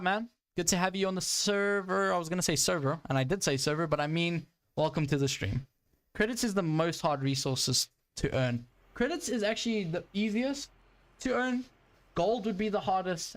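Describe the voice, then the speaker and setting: A young man with a South African accent, casually commentating a live stream of his tank game and greeting viewers in chat, with plenty of ums and restarts. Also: on the high side. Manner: casual, thinks aloud, many ums and restarts.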